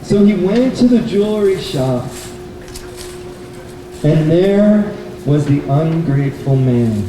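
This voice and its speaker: High-voiced man storytelling in an echoey room. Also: lively intonation, takes it slowly, mellow. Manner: simple, repetitive phrasing with questions to listeners. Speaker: puppet show storyteller. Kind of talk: storytelling to children. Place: bookstore event space. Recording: very echoey hall, distant mic, noisy.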